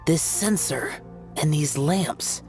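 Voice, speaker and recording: An actor playing a composed, scholarly gentleman in a fantasy game, speaking in formal, considered phrases. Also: a little high, expressive, slow pace, over music. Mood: appraising, composed. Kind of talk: fantasy video game voice acting.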